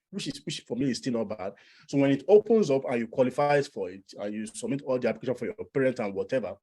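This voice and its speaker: Male presenter, Nigerian accent, mid-pitched voice, informal persuasive explainer style with emphatic repetition. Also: mellow. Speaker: immigration coach and presenter.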